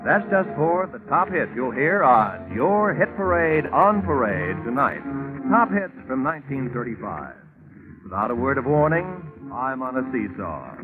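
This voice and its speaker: A man's voice: mid-range voice, big pitch swings, a little slow. A man with an American accent announcing network programs in scripted 1940s radio style. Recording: tinny 1940s radio broadcast with music.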